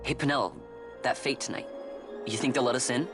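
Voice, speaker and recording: A man's voice: mid-range voice, fairly quick, over music, natural voice. A man playing a stubborn, restless teenage boy in a video game, with an earnest, youthful delivery. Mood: eager, stubborn. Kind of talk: naturalistic game voice acting.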